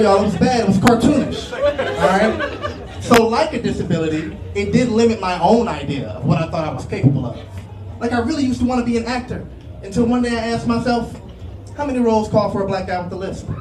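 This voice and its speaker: Lisping comic in a roomy club. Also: male voice, high voice. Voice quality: lisp. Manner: self-deprecating, rambling asides to the crowd. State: nervous. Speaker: stand-up comedian. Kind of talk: stand-up comedy set. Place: comedy club stage. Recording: roomy club sound from a distance, loud crowd laughter. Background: audience laughter and room noise.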